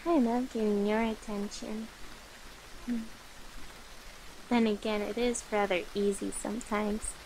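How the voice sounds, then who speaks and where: A woman playing an affectionate, sleepy young cat-girl familiar in a soft, coaxing, playful ASMR roleplay. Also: a little high, a little hiss or hum, poor audio. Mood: cozy, teasing.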